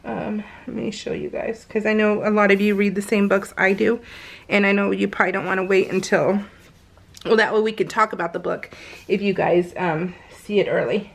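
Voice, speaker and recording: A woman's voice: medium pitch, fairly even intonation, moderate pace, vocal fry. A middle-aged woman chatting casually over a craft video, gushing and slangy, telling stories with plenty of exclamations. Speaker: craft vlogger.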